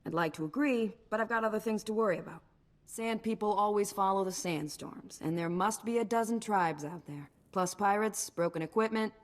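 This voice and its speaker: A woman's voice: medium pitch, fairly quick, lightly acted. Woman as a blunt, harried frontier mayor. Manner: blunt, wry, plainspoken. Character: blunt, harried female mayor of desert outpost. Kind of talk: video game dialogue.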